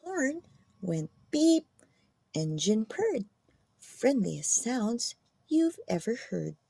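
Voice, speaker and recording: A woman narrating a children's picture book aloud with expressive, deliberate phrasing. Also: fairly high pitch, gravelly, breathy edge, no echo. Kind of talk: animated storybook read-aloud.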